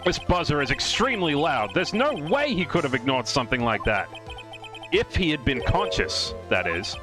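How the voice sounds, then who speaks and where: Man acting animated, dogged young defense attorney. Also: fairly high pitch, normal speed. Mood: confident, pressing. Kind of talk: live fan dub of a courtroom video game. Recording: music behind the voice. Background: game music.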